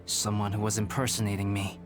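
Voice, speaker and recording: A man voicing a polite, reserved young alchemist in a video game, speaking in a measured, formal, precise way. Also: fairly low pitch, monotone, over music, natural voice. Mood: analytical. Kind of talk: video game character acting.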